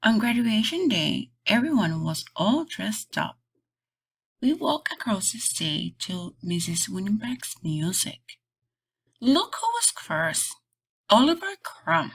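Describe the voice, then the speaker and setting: A woman host reading a storybook aloud for kids in an animated, deliberate, emphatic way. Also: mid-range voice, breathy edge, poor audio. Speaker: children's read-aloud channel host and teacher.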